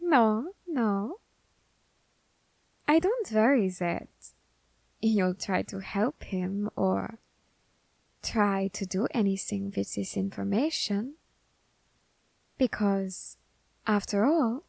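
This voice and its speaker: Woman doing a grim reaper for asmr roleplay, nerdy curious but quietly threatening, really slow formal talk, super dry recording. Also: medium pitch, creaky, no room sound. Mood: softly menacing. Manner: measured, formal, deliberate phrasing with pauses. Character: curious, scholarly, quietly menacing Grim Reaper studying a demon. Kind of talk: ASMR character roleplay audio drama.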